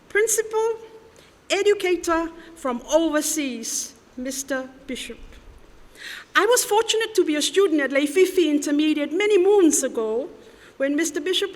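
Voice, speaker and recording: Samoan woman, native Samoan speaker, unhurried eulogy, high voice, echoing church hall. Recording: long church reverb.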